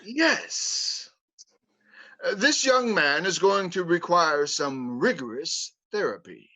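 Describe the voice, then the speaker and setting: A man's voice: sits high, lively intonation, slow pace, bright, forceful tone, heavily acted. A man voicing a pompous male hospital psychiatrist in a livestream script reading, hesitating with fillers. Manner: hesitant, stumbling over fillers. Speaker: play reader. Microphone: home mic on a livestream.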